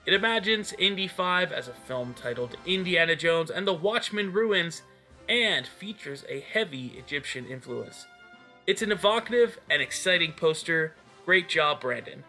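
Male fan-news host, upbeat and keen, somewhat high, crisp-edged bright delivery over music. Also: expressive, normal speed. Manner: enthusiastic. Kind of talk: scripted news roundup narration. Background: music behind the voice.

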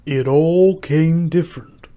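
Storybook turtle creature, played by a man: slow, doleful, ponderous, quick to take offence. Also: fairly high pitch, dark tone, close to an everyday voice. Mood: musing. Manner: drawn-out, ponderous delivery. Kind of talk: storybook character voice.

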